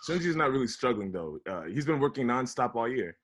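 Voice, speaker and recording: A man reading a comedy script, both as an incredulous young roommate and as the dry, wry reader of stage directions, with a husky voice. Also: mid-range voice, big pitch swings, a little fast, slight background noise, lightly acted. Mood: casual. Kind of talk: table read of comedy script, with narrated directions. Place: at home, remote table read.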